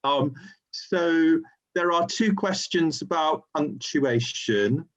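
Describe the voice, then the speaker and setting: Higher-pitched male webinar host, dull lo-fi sound. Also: slow pace. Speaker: host relaying audience questions. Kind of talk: informal webinar moderating, reading out questions. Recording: dull, lo-fi online call audio.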